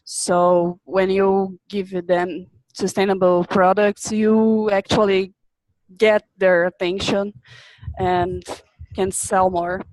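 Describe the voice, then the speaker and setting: A young woman from Brazil, a business student, presenting a webinar in a halting way, often repeating words as she searches for them. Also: medium pitch, little pitch movement, darkish tone, no echo, poor audio.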